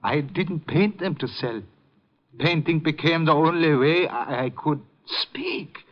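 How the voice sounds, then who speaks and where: Sad gentle old painter in 50s radio play, highish voice, begging and hesitant, dull old tape sound. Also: male voice, big pitch swings, takes it slowly, exaggerated voice. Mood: sad, apologetic. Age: older. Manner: pleading, hesitant. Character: gentle, distraught, penniless old male amateur painter. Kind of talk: 1950s radio drama acting. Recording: muffled vintage 1950 radio recording.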